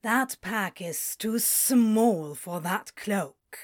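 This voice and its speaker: A small serpent prince acted by a woman in an audio story. He speaks slowly, in a flat, faintly hissing drawl. His airs are princely and his temper is dry and sardonic. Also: mid-range voice. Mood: flat, haughty. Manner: sibilant, drawn-out, flat drawl.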